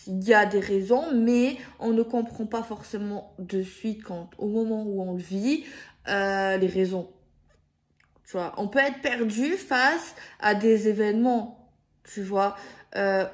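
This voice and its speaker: Woman, card reader speaking casually to viewers. Unhurried, halting, repeats and rewords as she goes. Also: fairly high pitch. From France. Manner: hesitant, circling, repeats and rephrases herself. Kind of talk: improvised spiritual guidance, casual chat to viewers.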